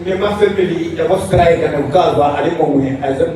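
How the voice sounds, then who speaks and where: High-pitched man speaking in an echoing hall. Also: moderate pace, mellow, a little hiss or hum. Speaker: speaker paying tribute at a memorial service. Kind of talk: public funeral tribute, halting phrases with pauses for interpreter. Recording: very echoey hall, far mic, audience murmur.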